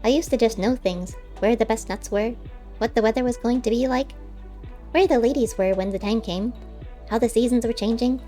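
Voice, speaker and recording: A woman's voice: fairly high pitch, normal speed, mellow, soft background music, voice pushed up, close to an everyday voice. A woman voicing a wistful, plain-spoken male squirrel with unusual cleverness, striking bargains in an audiobook story. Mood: wistful, earnest. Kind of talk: audiobook character voice.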